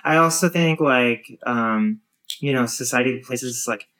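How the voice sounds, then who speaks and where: Woman, 30s, low voice, hesitant, musing, casual chat. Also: measured pace, a bit bright. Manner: hesitant, many fillers and restarts. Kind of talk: casual conversation.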